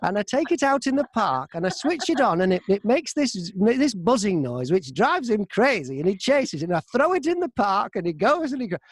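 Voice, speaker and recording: A man's voice: high voice, sing-song, a little fast, few pauses, dry recording, studio quality, voice pushed up, exaggerated voice. A man voicing a confused, insistent old man who rambles earnestly on a radio prank call. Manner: rambling, repetitive, earnest complaining.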